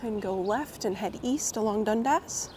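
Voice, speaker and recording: A woman a bit hoarse from a cold, chatting live with viewers and greeting them by name while she walks outdoors with a clip-on mic. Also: medium pitch, unhurried. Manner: friendly, greets viewers by name, laughs often. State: slightly hoarse, getting over a cold. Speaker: live-streaming walking vlogger. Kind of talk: casual live-stream chat while walking. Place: city street outdoors, windy. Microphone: clip-on mic on coat. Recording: outdoor street recording with traffic noise. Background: city traffic and wind.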